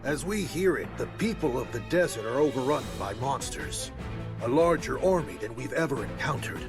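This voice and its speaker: An actor voicing an earnest, loyal warrior ally who speaks deliberately in a video game cutscene. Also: male voice, medium pitch, animated, over music, lightly acted. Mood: grave.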